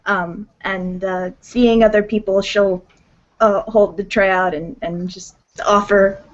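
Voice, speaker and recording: Slow-paced woman describing her roleplay character. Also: medium pitch, steady tone. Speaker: tabletop roleplaying player. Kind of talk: improvised third-person narration of her character. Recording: dull lo-fi audio.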